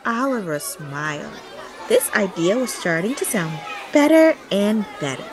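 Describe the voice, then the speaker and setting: A woman reading a children's picture book aloud expressively, acting out the dialogue in an animated way. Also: medium pitch, unhurried, crowd noise. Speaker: storytime host and reader.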